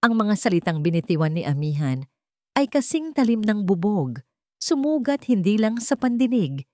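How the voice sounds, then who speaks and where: A woman's voice: medium pitch, a little mellow, dry recording, studio quality. A woman reading scripted dramatic narration of a romance story as the storyteller.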